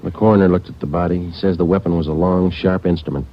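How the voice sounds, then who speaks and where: An actor playing a terse, hard-boiled police detective who narrates a 1940s radio crime drama in a clipped, flat, matter-of-fact way. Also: male voice, low-pitched, fast, natural voice. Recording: dull old radio broadcast recording.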